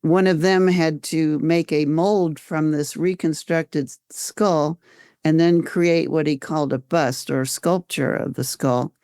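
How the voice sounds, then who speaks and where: An older woman with an American accent, telling her own story conversationally as an interview guest, reflective and halting, repeating phrases as she goes. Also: deep voice, fairly even intonation, warm tone, clean tone, dry recording, studio quality. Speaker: interview guest, author and volunteer researcher.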